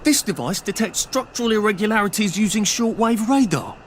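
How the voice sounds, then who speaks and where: A man's voice: sits high, lively intonation, brisk, slight background noise. An actor playing a sly, anxious, bluffing man with an English accent and a dry, polite, hesitant manner. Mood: sly, probing.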